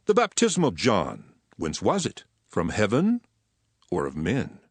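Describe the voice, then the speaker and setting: Low-voiced authoritative teacher, solemn emphatic declamation, hugely varied intonation, dramatized scripture, background music. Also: male voice, huge pitch swings, unhurried, breathy edge, no room sound, exaggerated voice. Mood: challenging.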